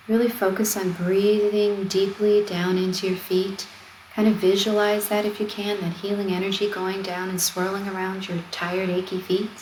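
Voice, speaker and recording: A woman leading a guided meditation, speaking in a gentle, soothing, conversational way as she gives simple instructions. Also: mid-range voice, poor audio. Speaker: meditation guide, wellness coach. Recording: steady noise of ocean waves. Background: ocean wave sounds.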